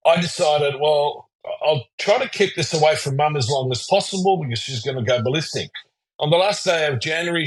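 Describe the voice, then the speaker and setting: A man in his sixties from Austria, a psychologist guest in an interview, telling his life story in a relaxed, rambling, self-deprecating way. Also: on the high side, breathy edge, poor audio.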